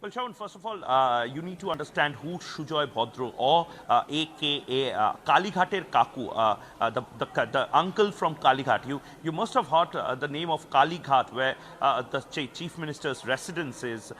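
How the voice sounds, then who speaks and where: A man explaining a story live as a senior news editor, halting and repeating words for emphasis. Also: fairly high pitch, animated, a bit bright, a little hiss or hum. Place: regional television newsroom.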